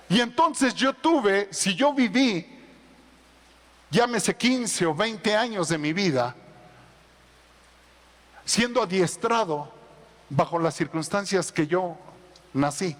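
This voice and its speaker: Male pastor, sermon, emphatic loud build-ups, high-pitched, echoing church hall. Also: animated, slow, long pauses. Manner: preaching, swelling to loud emphatic peaks.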